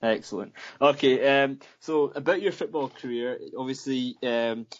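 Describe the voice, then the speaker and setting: A man from Scotland asks casual interview questions in a mid-pitched voice, stumbling and repeating words as he goes. The sound is dull and lo-fi. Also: normal speed.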